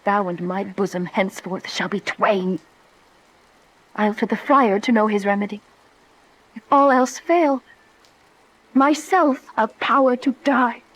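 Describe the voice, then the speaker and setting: A woman's voice: medium pitch, measured pace, pauses often, a bit bright, low-quality recording. An actress playing a passionate, desperate young noblewoman in a Shakespearean radio drama, delivering verse formally and dramatically. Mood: bitter, resolute.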